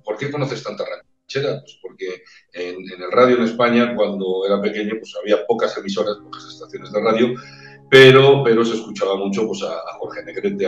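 A man from Spain with a Castilian accent talks casually as an interview guest, often repeating words while he gathers his thoughts. His voice sits fairly low. He sounds roomy and distant, as if on a video call set up away from the mic. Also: muffled recording. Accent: Spanish from Spain. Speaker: opera-trained ranchera singer, guest. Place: indoor room with brick wall. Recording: echoey room, far from the mic, faint music.

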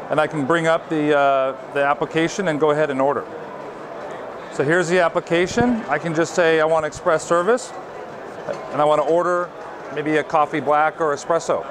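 A man in his thirties gives a polished, upbeat product demo as a marketing director, recorded by a nearby camera on a busy trade show floor. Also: background noise. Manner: upbeat, polished sales pitch. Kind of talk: scripted product walkthrough.

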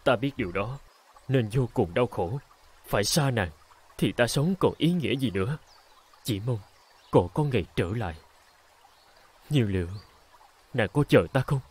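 A man's voice: medium pitch, animated, normal speed, pauses often, a little mellow, slightly rough. A man voicing a lovesick young village man in a cartoon, sorrowful and hesitant. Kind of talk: cartoon voice acting.

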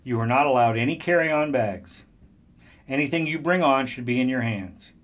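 A man plays a brisk supervisor in an audio drama, giving orders loudly. His voice is mid-pitched and commanding. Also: natural voice. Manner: loud, commanding. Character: brisk, bossy adult trip supervisor.

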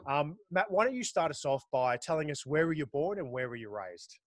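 A man's voice: fairly high pitch, animated, brisk, no echo. A man in his thirties hosting a podcast interview, asking questions in an upbeat way. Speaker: podcast host. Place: home studio. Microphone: studio mic with pop filter. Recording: dry close studio mic.

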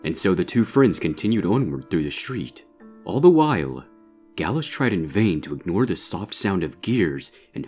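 Very deep-voiced male story narrator. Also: darkish tone. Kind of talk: scripted narration of a horror fan fiction story.

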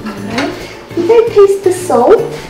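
Slow-paced woman cooking in echoey kitchen. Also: very high-pitched, sing-song. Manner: unhurried, murmuring to self between instructions. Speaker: cooking show host. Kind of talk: casual cooking demonstration, talking while working. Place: home kitchen, indoors. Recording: roomy echoey kitchen, music behind. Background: music, clatter of dishes and pans.

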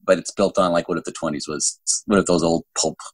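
Husky, low-voiced middle-aged man. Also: fairly even intonation, quick pace, no room sound. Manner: rambling, self-interrupting with repeated false starts. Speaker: guest novelist. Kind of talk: casual interview chat. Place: indoor room at home. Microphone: phone at arm's length on a video call.

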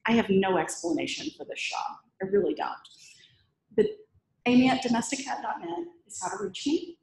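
Lively gravelly-voiced woman speaker. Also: medium pitch, normal speed. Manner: lively, chatty, self-deprecating humor. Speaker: conference speaker. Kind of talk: presentation talk with Q&A. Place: meetup room with audience. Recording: echoey room.

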